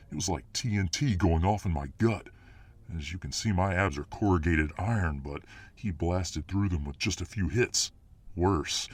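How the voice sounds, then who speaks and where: A very low-pitched muscleman fireman voiced by a male actor for an ASMR audio drama. He is dominant and boastful, with a cocky swagger. He is protective of his lover and quick to bristle. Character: dominant muscular alpha fireman boyfriend, boastful, protective, hot-tempered.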